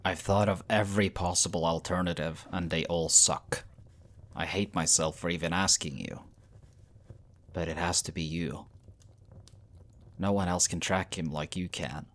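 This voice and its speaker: A man acting as a caring, weary young pack leader in an audio roleplay, speaking in a measured, earnest and reassuring way. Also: low voice, fairly even intonation, fairly bright tone. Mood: regretful, firm.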